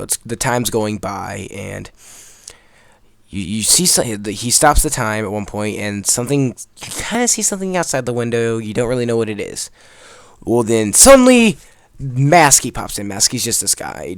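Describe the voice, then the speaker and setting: A man's voice: mid-range voice, gravelly, no room sound. Casual young man rambling through a story, dry recording. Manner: rambling, profane, emphatic. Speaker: video creator telling a story over gameplay.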